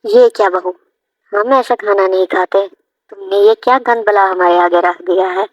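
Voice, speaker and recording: A woman's voice: medium pitch, steady tone, normal speed, dry recording, highs cut off, lightly acted. A woman voicing an arrogant, bossy older mother-in-law in a family cartoon, scolding and imperious. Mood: commanding.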